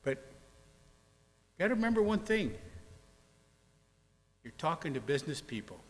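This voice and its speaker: A man's voice: medium pitch, a bit bright. A man speaking as a city councillor in a council debate, blunt and folksy in a plain-spoken way. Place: council chamber. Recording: reverberant chamber with faint hum.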